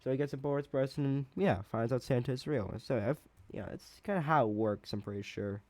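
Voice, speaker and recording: A man giving casual, rambling reaction commentary as a video host, often halting and repeating himself. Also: mid-range voice, a little mellow, no room sound.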